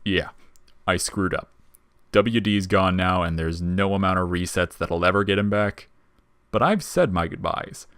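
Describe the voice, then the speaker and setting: A man voicing a wry, laid-back, quietly protective skeleton in an audio story, with casual slangy talk. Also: sits low, measured pace, clean tone, no echo, studio quality. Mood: calm, sincere. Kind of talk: dramatic audio fiction character reading.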